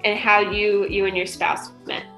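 A woman's voice: medium pitch, level tone, moderate pace, bright, forceful tone, poor audio. Woman host over background music. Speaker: podcast host. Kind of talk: scripted podcast introduction. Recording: music playing behind the voice.

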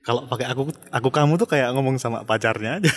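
A young man co-hosts a podcast in loose, friendly chat. He has a Jakarta Indonesian accent and drops in slang. His voice sits at an ordinary middle pitch, and he breaks into laughter often. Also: fairly quick, a bit bright. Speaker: podcast co-host.

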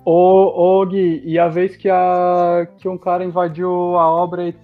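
A man's voice: high-pitched, monotone, unhurried. A man hosting casual podcast chat, prompting the others to tell their stories. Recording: slightly dull sound with faint music.

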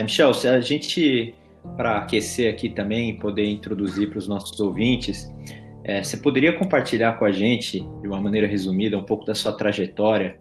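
A man hosting a podcast, giving a measured scripted welcome and asking a question. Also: lowish voice. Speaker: podcast host. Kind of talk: podcast intro and interview question.

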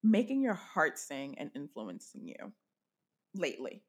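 A woman's voice: sits low, wildly swooping pitch, unhurried. A woman hosting a podcast interview, upbeat and encouraging, gushing with enthusiasm. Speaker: podcast host. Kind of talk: casual interview chat.

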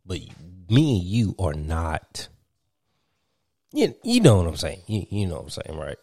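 Deep-voiced young man, rambling chat. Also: huge pitch swings, unhurried, creaky, dark tone, slightly rough, no echo, clean studio sound. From Virginia, US. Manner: rambling, filler-heavy, laughs often. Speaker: podcast host. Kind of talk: casual chat.

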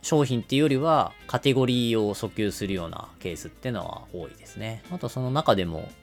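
A man's voice: lowish voice, fairly quick, faint music behind. A man explaining things step by step in a business podcast style, using everyday examples. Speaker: podcast host explaining marketing.

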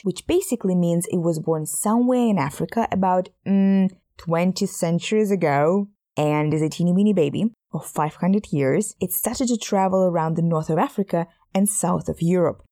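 Gravelly-voiced woman narrating playfully. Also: on the low side, mellow. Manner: playful, chatty, addresses listener. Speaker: podcast host. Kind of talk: scripted narration.

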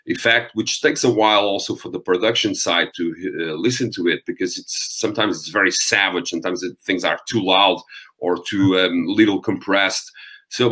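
A man from Portugal with a Portuguese accent and a deep voice, speaking English as a second language in a relaxed interview, pausing often with ums. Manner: thoughtful, frequent ums and restarts. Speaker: metal vocalist guest. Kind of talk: interview conversation.

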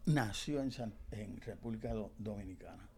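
An older Puerto Rican man, a professor being interviewed, telling history conversationally and haltingly, often pausing to search for words. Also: fairly low pitch, gravelly, darkish tone, breathy edge. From Puerto Rico. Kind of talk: conversational oral history storytelling.

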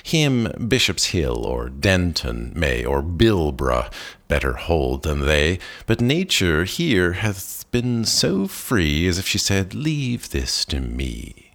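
A man reading a long seventeenth-century poem aloud in a slow, deliberate way, now and then correcting a slipped word. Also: low voice, sing-song, vocal fry, a bit bright. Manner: deliberate, with occasional self-corrections. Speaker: poetry reader. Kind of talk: slow measured poetry reading.

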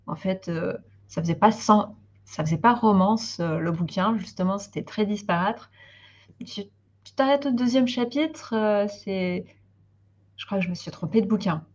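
A woman's voice: mid-range voice, fairly even intonation, normal speed, darkish tone. A young woman in a casual book discussion, informal, acting out her own reactions as she recounts, on dull lo-fi audio. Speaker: book club participant.

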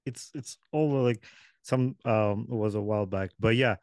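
A man's voice: fairly low pitch, fairly even intonation, normal speed, a little mellow, dry recording, studio quality. A man hosting a podcast interview over a video call, rambling and correcting himself with light chuckles. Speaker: podcast host. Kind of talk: casual interview chat.

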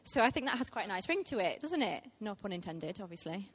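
A woman's voice: medium pitch, big pitch swings, fast talker, slightly rough. A woman, preaching a heartfelt sermon to a church with frequent ums. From Teesside, England. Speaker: guest preacher.

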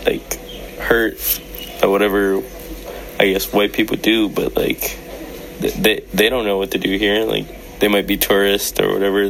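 A young man from Hawaii speaks, giving short, laid-back answers. His voice is fairly low and gravelly with fry. A faint background hum sits behind him. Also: a bit bright, rough recording. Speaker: interviewee.

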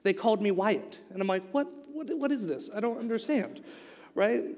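A man's voice: high voice, animated, moderate pace, darkish tone, big-room sound. A young man with an Oklahoma accent giving a youth sermon, moving from a casual story into emphatic preaching. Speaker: youth speaker.

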